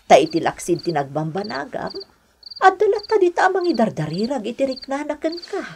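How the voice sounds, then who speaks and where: A woman's voice: on the high side, wildly swooping pitch, brisk, slight background noise. An actress with an Ilocano accent playing a caring, pleading middle-aged mother and new grandmother in a radio drama. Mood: gentle urging. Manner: earnest, coaxing.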